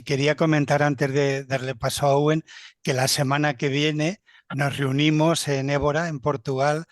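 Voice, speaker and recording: Calm male moderator. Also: fairly high pitch, no echo, studio quality. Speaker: host, moderator.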